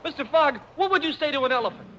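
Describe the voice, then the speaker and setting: An actor playing an eager, deferential young valet in a classic radio play. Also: male voice, extremely high pitch, animated, quick pace, a bit bright, a little hiss or hum. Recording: old radio recording, music behind.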